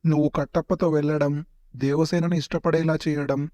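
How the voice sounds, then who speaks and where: A man's voice: fairly high pitch, little pitch movement, a little fast, mellow, no room sound, lightly acted. A man playing a scheming adult prince, a dutiful elder brother, in a comic cartoon parody. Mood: earnest, plotting.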